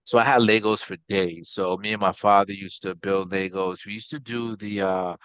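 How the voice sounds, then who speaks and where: Low, even-toned man chatting casually. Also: moderate pace, smooth, no echo. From Guyana, later Maryland, USA. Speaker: interview guest, company CEO. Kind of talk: casual explanatory chat. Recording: slightly dull, lo-fi audio.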